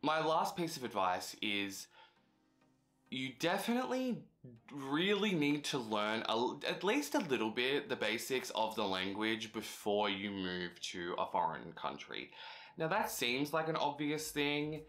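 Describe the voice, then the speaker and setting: A man's voice: mid-range voice, sing-song, a little slow, a bit bright, soft background music. A young man, a native English speaker, giving casual, chatty advice in a vlog with frequent fillers. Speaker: vlogger.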